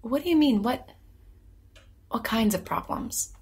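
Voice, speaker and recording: A woman's voice: on the high side, lively intonation, a little slow, darkish tone. A woman playing a nervous young therapy patient in an audio drama, rambling and hesitant in a naturalistic style. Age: young adult. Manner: hesitant, stammering, self-conscious rambling.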